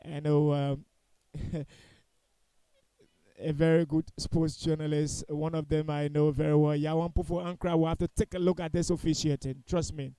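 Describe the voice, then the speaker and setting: A man's voice: fairly high pitch, little pitch movement, moderate pace, warm tone, smooth, dry recording, low-quality recording. A man giving live football commentary in a critical, opinionated way, repeating points for emphasis.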